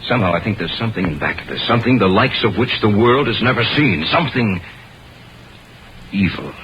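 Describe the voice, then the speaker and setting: A troubled research biologist of 1950s radio drama, played by a male actor, talks in a very deep, weighty and earnest voice. The recording is dull, with clear noise over it. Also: lively intonation, brisk, close to an everyday voice. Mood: worried, foreboding. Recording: muffled, noisy old radio recording.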